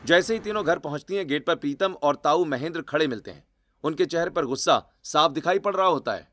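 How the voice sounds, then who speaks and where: A male storyteller with a fairly high-pitched voice. He delivers scripted, dramatic narration for a cartoon tale. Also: expressive, fairly quick, a bit bright, dry recording, studio quality.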